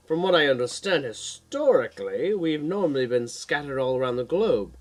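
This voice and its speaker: A man's voice: fairly high pitch, sing-song, moderate pace. A man voicing an earnest, knowledgeable male guardian hero in an audiobook, explanatory with an urgent edge. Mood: explaining.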